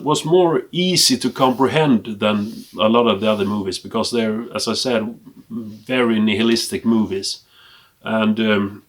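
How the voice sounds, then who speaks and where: A man from Sweden with a Swedish accent, a native Swedish speaker, casually showing off a collection in English in a hesitant, halting way with trailing asides. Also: lowish voice. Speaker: film collector presenting a collection. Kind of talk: casual unscripted show-and-tell.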